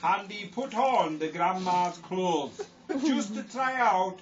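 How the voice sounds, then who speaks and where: A man's voice: high voice, animated, slow pace. A man telling an improvised comic story in a rambling way, giggling at his own jokes. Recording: distant lo-fi home recording with hiss.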